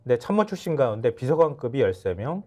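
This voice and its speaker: A middle-aged man giving political commentary on a studio talk show, animated and acting out quotes in a higher voice. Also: quick pace. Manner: animated, acts out quotes with sudden pitch jumps.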